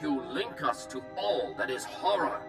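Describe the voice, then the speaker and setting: An actor playing a stern, solemn alien leader in a video game, speaking in formal, clipped phrases. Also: male voice, fairly high pitch, animated, measured pace. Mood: outraged. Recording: roomy, distant, music behind.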